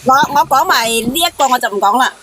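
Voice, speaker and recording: A woman calls in over a clearly noisy connection. She gives moralising, cautionary advice. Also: sits high, animated, fast. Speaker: guest caller giving advice. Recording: noisy phone call with clicks.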